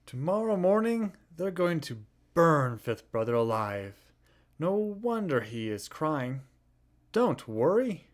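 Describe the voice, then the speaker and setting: A man's voice: fairly high pitch, very animated, slow. A man voicing good-natured, emotional brothers from a children's storybook in a theatrical way. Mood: alarmed. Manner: theatrical, swooping.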